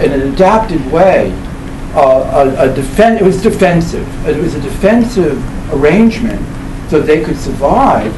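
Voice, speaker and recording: Older man lecturing in a noisy, echoey room. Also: fairly high pitch. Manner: halting, discursive, with repeated phrases and self-corrections. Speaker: professor giving a book presentation. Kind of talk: informal lecture to a live audience. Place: indoor library event room. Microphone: camera mic a few meters away. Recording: roomy, distant mic with loud background noise.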